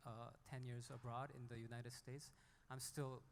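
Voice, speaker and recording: A man from South Korea, an indie musician, speaking off the cuff and haltingly on a panel. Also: medium pitch, flat delivery, a little hiss or hum. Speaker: indie singer-songwriter on a panel. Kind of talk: unscripted panel talk. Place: hall at a music conference panel. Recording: echoey hall with long reverb.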